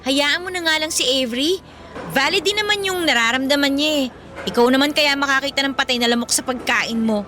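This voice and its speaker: Bright, high-voiced, defiant young Filipina girlfriend, acted by a woman. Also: brisk. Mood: dismissive. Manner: assertive, emphatic. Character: defiant young girlfriend, outspoken and loyal to her friend. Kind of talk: radio-drama dialogue acting. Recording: music bed behind the voice.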